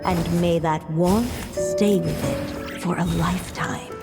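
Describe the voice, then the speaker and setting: A woman playing a tender, solemn mother witch, telling a storybook tale in a video game with gentle, deliberate delivery. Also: fairly low pitch, steady tone, over loud music.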